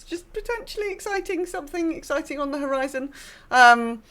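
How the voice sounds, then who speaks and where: A woman's voice: high-pitched, expressive, fairly quick, a bit bright. A woman chatting casually as a podcast co-host. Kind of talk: casual chat.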